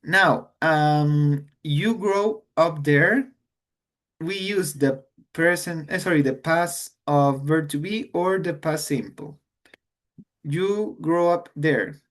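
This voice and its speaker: Guy teaching english grammar, slow, switches to to explain. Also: on the high side. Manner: slow, explains in English then repeats in Spanish. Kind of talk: online grammar lesson.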